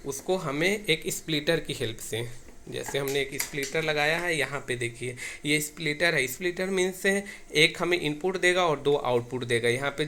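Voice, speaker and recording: Man, piercingly bright voice, step-by-step technician tutorial, repetitive phrasing, noisy amateur recording. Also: on the high side. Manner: repetitive, restarts phrases as he demonstrates. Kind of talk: hands-on tech tutorial, explaining step by step.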